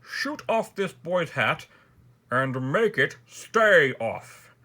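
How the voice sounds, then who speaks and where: A man voicing a blustering, impatient storybook king who commands and exclaims loudly. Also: on the high side, very animated, extremely slow, voice pushed up, full character voice. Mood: commanding.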